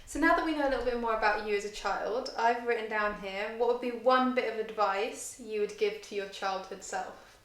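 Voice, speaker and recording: Chatty higher-voiced woman, echoey room. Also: a little fast, hardly any pauses, a bit bright. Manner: friendly, giggly, chatty. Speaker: interviewer. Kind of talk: casual chat.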